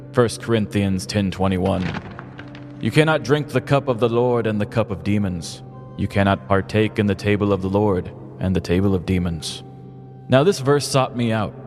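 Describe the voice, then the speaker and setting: A man's voice: lowish voice, a little mellow, big-room sound, lightly acted. A man voicing a fervent, folksy preacher who delivers a pompous, declamatory mock sermon for a Halloween story.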